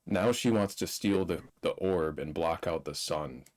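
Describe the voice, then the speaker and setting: A man with a low-pitched voice, talking casually as a co-host. His speech is informal and conversational, as in an offhand film review. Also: a little slow, a little mellow. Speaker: movie review show co-host. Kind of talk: casual chat, film discussion.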